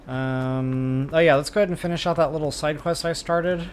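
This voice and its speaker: A man's voice: fairly high pitch, steady tone, normal speed, a little hiss or hum. A man giving casual, chatty walkthrough commentary as a gaming streamer, addressing viewers informally.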